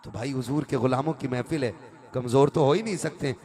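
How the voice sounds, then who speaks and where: A man's voice: medium pitch, a little fast, darkish tone. Man preaching to a gathering. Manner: conversational, calls on listeners to respond aloud. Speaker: Islamic preacher. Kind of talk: religious sermon to a live gathering, with recited verse.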